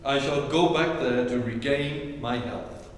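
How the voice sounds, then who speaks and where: An actor playing a formal, pompous butler in a staged 1940s radio comedy. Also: male voice, mid-range voice, measured pace, close to an everyday voice. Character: pompous English butler, wordy. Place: theatre stage. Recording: echoey theatre, far from mic, faint hiss.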